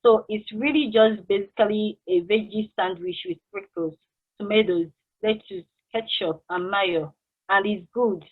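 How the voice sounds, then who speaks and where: A woman playing a laid-back, candid fast-food worker in a stage play table read. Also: mid-range voice, natural voice. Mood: easygoing. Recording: tinny, phone-like call sound.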